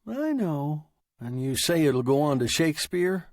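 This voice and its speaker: A man's voice: a little high, sing-song, measured pace, no room sound, studio quality, voice pushed up. A man voicing a fat old male pawnbroker in a story, tired, furtive and resigned.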